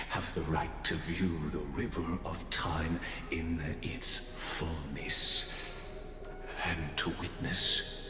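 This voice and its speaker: Very slow, deep, theatrical enigmatic man. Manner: grandiloquent, dramatic, deliberate phrasing. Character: enigmatic, theatrical male guide, courtly and knowing. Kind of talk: theatrical scripted game dialogue, reciting verse. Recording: echoey long reverb over music.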